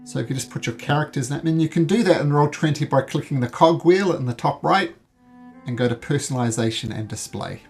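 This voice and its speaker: A man's voice: on the high side, a little fast, gravelly, soft background music. New Zealand man running a tabletop game. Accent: New Zealand mixed with North American. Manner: earnest, with frequent ums and restarts. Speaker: dungeon master. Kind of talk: explaining game rules to players. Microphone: voice call microphone.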